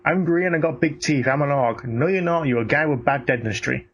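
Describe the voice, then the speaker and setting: A man's voice: fairly high pitch, a little fast, highs cut off. A man chatting casually and giving blunt opinions in a sweary, ranting way. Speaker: podcast co-host. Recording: noticeable background noise.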